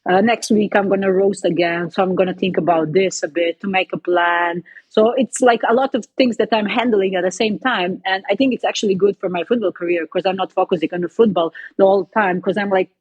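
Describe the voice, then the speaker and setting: Young woman, Serbian accent, casual interview guest; husky tone, dry slightly dull sound. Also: medium pitch, steady tone, a little fast, a little mellow, no echo. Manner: chatty, frequent "you know" and "like" fillers. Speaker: guest, footballer and coffee entrepreneur.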